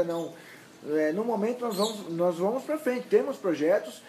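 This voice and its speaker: A mature man with a Santos Brazilian accent and a fairly high voice. He answers as a candidate being interviewed, emphatic but halting, often repeating words as he searches for the next. There is clear noise on the recording, made at home. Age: middle-aged or older. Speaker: mayoral candidate and university lecturer. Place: indoors at his home.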